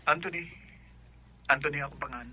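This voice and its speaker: An actor with a Cebuano Filipino accent playing an earnest young man gently wooing in a radio drama. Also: on the high side, brisk, over loud music, close to an everyday voice. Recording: dull, band-limited sound.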